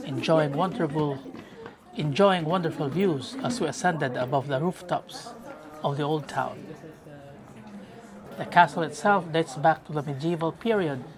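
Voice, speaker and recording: A man with a Filipino accent giving casual travel narration as a vlogger. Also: a little high. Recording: loud crowd chatter behind. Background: busy crowd noise.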